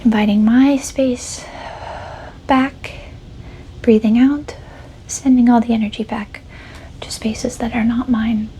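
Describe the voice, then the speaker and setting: A woman guides a meditation in a soft ASMR style, her voice fairly high and mellow. She speaks very slowly and soothingly, breathy and often close to whispering. A light layer of ambient noise sits behind her. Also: fairly even intonation, poor audio. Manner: gentle, unhurried, soothing instruction.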